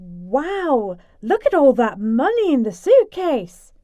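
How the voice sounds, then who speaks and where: A woman's voice: sits high, very animated, takes it slowly, mellow, dull audio, full character voice. A woman playing an easily impressed member of a friend group in an audio play.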